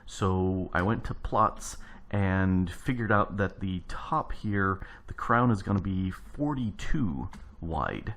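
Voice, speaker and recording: Deep-voiced man, morning-gravelly, easygoing rambling game commentary with lots of restarts. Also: a bit bright. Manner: rambling, thinking aloud while building. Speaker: let's play host. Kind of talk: casual gameplay commentary.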